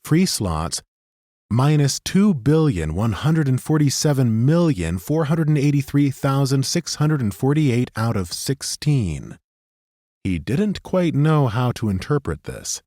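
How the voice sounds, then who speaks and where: A man narrating a fantasy audiobook in a measured, even way. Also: medium pitch, dry recording, clean studio sound. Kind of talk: scripted narration.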